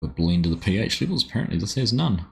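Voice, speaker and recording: A man's voice: fairly low pitch, sing-song, fast talker, creaky. A young man with a New Zealand accent, chatting casually and reading chat aloud while livestreaming a farming game. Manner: chatty, laughs at himself, reads chat aloud. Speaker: livestream gaming host. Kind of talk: casual live gameplay chat.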